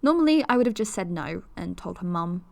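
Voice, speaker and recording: A woman's voice: lowish voice, animated, brisk, vocal fry, no echo. Nervous young podcaster girl, self-mocking, voice all over the place, dry room. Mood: anxious. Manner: confiding, nervous, self-deprecating. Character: young woman podcaster, anxious, wry. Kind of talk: audio drama monologue.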